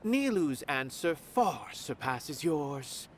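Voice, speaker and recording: A disillusioned, haughty art connoisseur from a video game. He speaks with pompous, formal eloquence and a lively, sweeping melody, with music underneath. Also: a little high, slow. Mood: lofty, emphatic. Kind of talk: video game character dialogue. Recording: music behind the voice.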